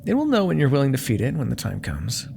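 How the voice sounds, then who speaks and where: A man's voice: medium pitch, huge pitch swings, quick pace, creaky, darkish tone, slight background noise, heavily acted. A man voicing a vain, menacing demon crime lord in an ASMR audio roleplay, silky, theatrical and condescending, with cool threats under mock affection. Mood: calm, calculating.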